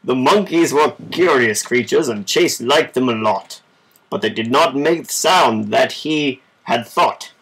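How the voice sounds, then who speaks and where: Man for children's story narration, amateur and informal; unhurried pace, fry-edged voice. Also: mid-range voice, fairly bright tone, poor audio. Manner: informal, measured amateur reading. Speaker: storybook reader. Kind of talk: reading a children's story aloud.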